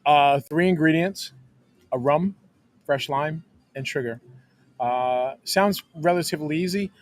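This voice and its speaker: A man who works in hospitality, a podcast guest, telling stories in a measured, filler-heavy way, recorded outdoors in a back alley at night. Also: on the high side. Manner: warm storytelling, measured, many fillers. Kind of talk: casual chat.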